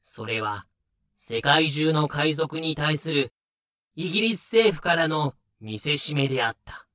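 A man's voice: a little high, lively intonation, a little slow, bright, forceful tone, no room sound, clean studio sound. A man narrating a pirate history audio drama in a solemn, scripted, dramatic style.

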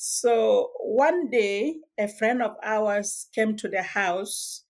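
A woman from Sudan, telling her life story as a guest in a podcast interview, measured and reflective with small hesitations. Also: mid-range voice, darkish tone. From South Sudan. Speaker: guest author.

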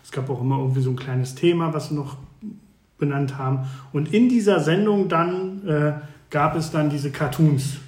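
A man chatting casually as a podcast co-host, reminiscing haltingly and often checking that his listener follows. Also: fairly high pitch, a little mellow. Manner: halting, searching for words, seeks confirmation. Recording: echoey room.

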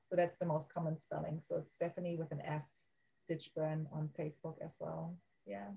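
A woman with a German accent, a guest on a podcast interview, speaking softly and haltingly, searching for words as she goes. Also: sits low, little pitch movement, vocal fry, slightly rough, rough recording. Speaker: guest, women's coach.

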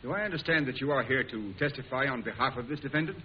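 A courteous, official-sounding judge played by a man in a vintage radio drama. He talks quickly at a medium pitch. The recording is muffled. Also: animated, close to an everyday voice. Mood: formal, courteous. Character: brisk, formal, courteous male trial judge. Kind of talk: old-time radio drama acting. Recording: hissy, muffled old radio broadcast recording.

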